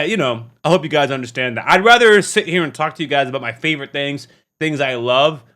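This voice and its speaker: Bright-voiced man doing casual reaction commentary. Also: medium pitch. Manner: animated, slangy, repeats himself. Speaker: YouTube reaction host.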